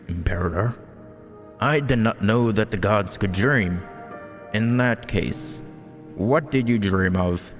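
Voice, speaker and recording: A man's voice: on the low side, big-room sound. A man voicing a solemn, devoted follower of an emperor in a game, speaking in a grave, formal, ceremonial way.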